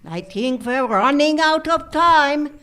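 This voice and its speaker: A woman's voice: high voice, expressive, takes it slowly, a bit bright, heavily acted. A woman playing an impatient, scornful villainous nurse in a live comedic radio drama, sneering with disdain. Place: theater stage before an audience. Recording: noisy live theater stage recording.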